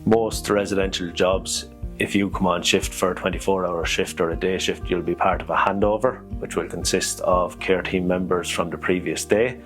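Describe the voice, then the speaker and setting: A man explains his care job in an interview. His voice is very deep and even, over soft guitar music. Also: moderate pace. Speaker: social care worker.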